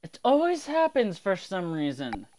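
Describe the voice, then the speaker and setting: Guy streamer just chatting casually, loud game noise behind him. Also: high-pitched, huge pitch swings, unhurried. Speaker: streamer and amateur voice actor. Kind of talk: casual livestream game chat.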